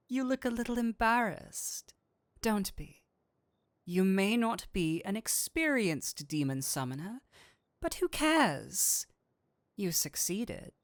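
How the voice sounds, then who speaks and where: Slow, teasing incubus demon, acted by a man. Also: sits high, animated, no room sound, clean studio sound, heavily acted. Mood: seductive, reassuring. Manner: teasing, drawn-out, theatrical delivery. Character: flirtatious, teasing male incubus demon, theatrical and seductive. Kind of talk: scripted roleplay audio drama, addressing the listener.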